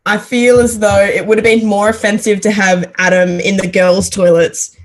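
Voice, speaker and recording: A woman's voice: level tone, moderate pace. A young Australian woman co-hosting a casual rugby league podcast over a video call, chatty and laughing often. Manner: giggly, chatty, frequent laughter, high squeals when mimicking. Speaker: podcast co-host.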